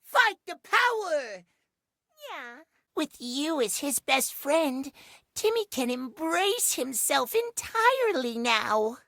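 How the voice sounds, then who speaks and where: An actor voicing a cute, excitable, dramatic little video game character who gushes about himself in the third person. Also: male voice, very high-pitched, slow, creaky, dry recording, full character voice. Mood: delighted. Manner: refers to himself in third person, gushing, theatrical. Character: cutesy, excitable, dramatic household-object creature speaking of himself.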